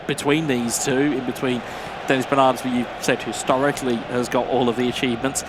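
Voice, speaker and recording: A man's voice: mid-range voice, flat delivery, brisk, background noise. A man, a former competitor, giving thoughtful co-commentary on an esports football match with frequent fillers and restarts. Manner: reflective, hesitant with fillers. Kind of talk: live esports commentary analysis.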